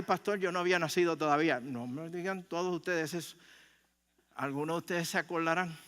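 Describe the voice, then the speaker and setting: A male pastor with a Puerto Rican accent delivers a sermon in a bright, smooth, resonant voice. He phrases deliberately, pausing as he tells stories conversationally. The sound carries the long reverb of a large hall. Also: sits high. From Puerto Rico. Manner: deliberate phrasing, dramatic pauses, conversational storytelling. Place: large room, speaking to a congregation.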